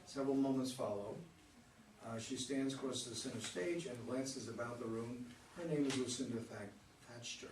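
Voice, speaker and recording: A man's voice: medium pitch, normal speed. Narrator for play reading: man, plain scripted delivery, roomy recording with faint noise. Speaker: stage directions reader. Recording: echoey room, distant mic, faint noise.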